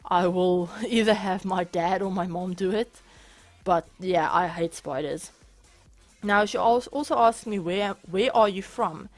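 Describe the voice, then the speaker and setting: A woman's voice: lowish voice, unhurried, soft background music. A young woman with a South African accent, chatting through viewer questions in a casual gaming vlog, with frequent restarts and small laughs. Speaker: gaming YouTuber. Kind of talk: casual Q&A over a speed build.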